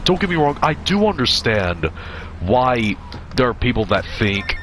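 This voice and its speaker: A man in his twenties with a deep voice, chatting casually while streaming gameplay and answering his viewers. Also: measured pace, background noise. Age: 20s. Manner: relaxed, chatty, answering chat. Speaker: gaming streamer.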